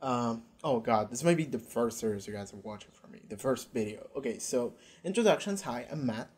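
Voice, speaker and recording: A man's voice: mid-range voice, expressive, a little fast, a little mellow, husky. A young man from Argentina with an Argentinian accent, rambling casually and swearing as he reacts to a show, recorded on a headset in a small bedroom. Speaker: YouTube reactor.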